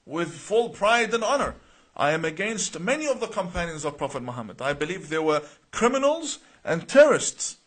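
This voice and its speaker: A man's voice: high-pitched, a bit bright. Emphatic man reading out live comments. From Iraq. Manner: reads viewer names then answers, with frequent uh and repeated words. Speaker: imam answering viewer questions.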